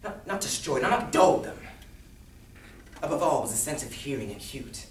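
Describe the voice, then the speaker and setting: A man playing a nervous, gleeful madman confessing to murder in a theatrical stage recitation, swinging between hushed and manic delivery. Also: mid-range voice, big pitch swings, moderate pace, voice pushed up, natural voice. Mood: manic, excited. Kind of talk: dramatic solo stage recitation of a gothic story. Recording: roomy, distant stage recording with noise.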